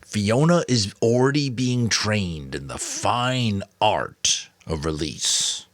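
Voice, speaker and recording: A man's voice: fairly low pitch, lively intonation, fairly bright tone, pitched above the performer's own voice. A man voicing a grave, weary old mentor, firm but kind, in a dramatic audiobook. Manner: firm, solemn, deliberate. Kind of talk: dramatic audiobook character reading.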